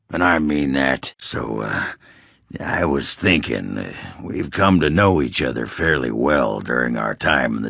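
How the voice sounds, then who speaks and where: Very deep, gravelly dwarf warrior. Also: male voice, fairly bright tone, dry recording, studio quality, heavily acted. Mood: hostile, scornful. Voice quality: gravelly, rough, raspy. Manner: blunt, coarse, folksy swearing. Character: gruff, crude, hard-drinking male dwarf warrior. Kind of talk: video game character dialogue.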